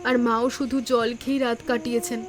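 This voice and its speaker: High-pitched, quick young village bride voiced by a woman. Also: level tone, natural voice. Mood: worried. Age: young adult. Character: young, gentle, caring village daughter-in-law. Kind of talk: animated moral story voice acting. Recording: music behind the voice.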